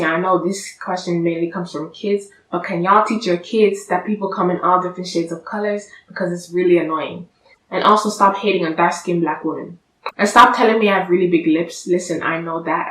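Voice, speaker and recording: Young woman vlogger, blunt and sassy, low-ish voice, set back from the mic in a roomy space. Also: a bit bright, far from the mic. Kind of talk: direct-to-camera vlog. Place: room indoors, seated on a sofa. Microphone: camera at a distance. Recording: echoey room, voice from off-mic.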